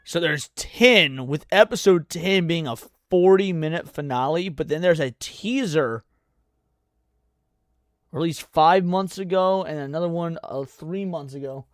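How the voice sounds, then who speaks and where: Higher-pitched man, dry close sound, reacting. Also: unhurried, no echo. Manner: informal, swearing. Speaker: livestream host. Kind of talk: casual reaction commentary.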